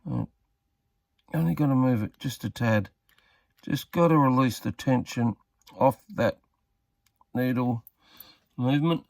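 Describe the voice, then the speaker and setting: Aussie bloke doing a casual how-to, low gravelly voice, kinda muttering while he works, dry close sound. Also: darkish tone, dry recording. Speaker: hobbyist demonstrating a radio repair. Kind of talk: casual hands-on tutorial.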